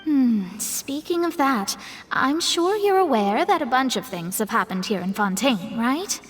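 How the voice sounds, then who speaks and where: A woman's voice: fairly high pitch, animated, unhurried, big-room sound, slight background noise. A woman voicing a proud, studious young astrologer in a video game, explaining things in a precise, self-important manner. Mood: cautionary. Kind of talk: animated game dialogue acting.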